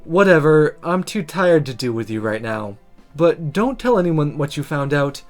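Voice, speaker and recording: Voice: mid-range voice, very animated, moderate pace, faint music behind, lightly acted. Earnest, exasperated young magic student, read by a streamer. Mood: weary, annoyed.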